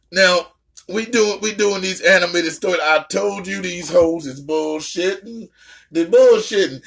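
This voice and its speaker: A young adult woman hosting a casual reaction chat, talking loud and brash, swearing a lot and trash-talking playfully. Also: lowish voice, vocal fry. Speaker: host.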